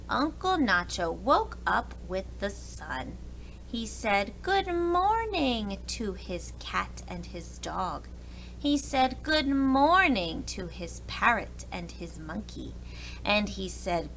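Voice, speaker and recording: A woman reads a children's storybook as a preschool teacher would. Her bright voice moves slowly and deliberately, with very lively pitch. The delivery is expressive throughout. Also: slight background noise, poor audio. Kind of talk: children's storybook read-aloud.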